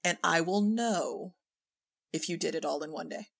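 Low-pitched woman with a creaky voice. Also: moderate pace. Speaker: podcast guest, audio drama director and writer. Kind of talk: casual conversational discussion.

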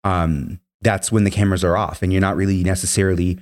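Deep-voiced young man, earnest, filler-heavy. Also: steady tone, quick pace. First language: Spanish. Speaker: guest, former military member. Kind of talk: casual interview storytelling.

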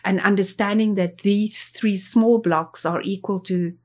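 Afrikaans-speaking woman talking english, explains stuff, lots of restarts, mid pitch. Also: fairly even intonation, a little slow. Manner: explanatory, thinks aloud with restarts. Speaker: guest, associate professor. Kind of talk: interview.

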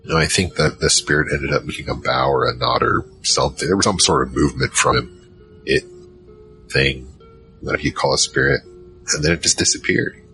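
A man's voice: a bass voice, brisk, vocal fry, over music, low-quality recording. A man playing a wary team member who narrates an audio drama in a rambling, wry, conversational way. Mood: wry storytelling. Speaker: narrator and lead character.

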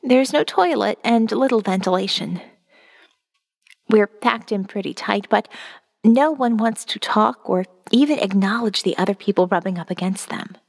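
A woman's voice: medium pitch, normal speed, gravelly, in a large hall. A woman voicing a weary, wary survivor reading out her diary in a wry, observant first-person audio novel narration. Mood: grim, observant.

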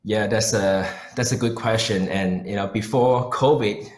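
Roomy echo, man, Malaysian accent, lowish pitch, earnest pastor, conversational interview answers. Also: measured pace. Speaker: pastor and missionary guest. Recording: roomy, echoing sound.

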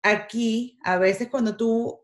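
A woman's voice: on the high side, fairly even intonation, slow pace, echoey room. A middle-aged woman from Venezuela with a Venezuelan Spanish accent, chatting animatedly as a guest and dropping in English words. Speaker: guest, makeup artist. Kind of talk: casual interview chat.

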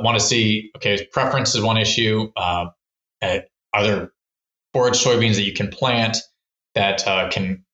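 Low, bright-voiced man in a roomy echo. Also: muffled recording. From Texas. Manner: rambling, many restarts and fillers. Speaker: wildlife researcher, podcast guest. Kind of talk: casual explanatory interview talk.

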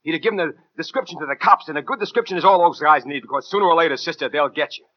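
Actor as a fast-talking hard-boiled American gunman. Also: fairly high pitch, no echo, natural voice. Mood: agitated, defensive. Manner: slangy, tough-talking, clipped. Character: edgy, hard-boiled gunman narrating and bullying his girlfriend. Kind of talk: 1940s radio crime drama acting. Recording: muffled 1940s radio recording, faint music.

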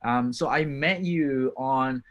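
A man's voice: mid-range voice, takes it slowly, a bit bright, muffled recording. Man, podcast host, hesitant, lots of ums, friendly. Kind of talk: casual podcast interview.

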